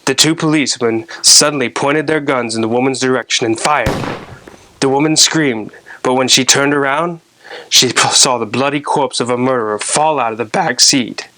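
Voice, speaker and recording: A man narrating a scary story in a deliberate, theatrical storyteller's manner, addressing his listeners as host. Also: medium pitch, pushed and bright, low-quality recording.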